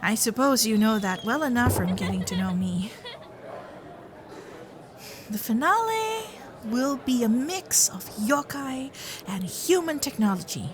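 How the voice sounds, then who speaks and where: Woman acting a teasing kitsune girlfriend, lively and unhurried. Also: a little high. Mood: warm, excited.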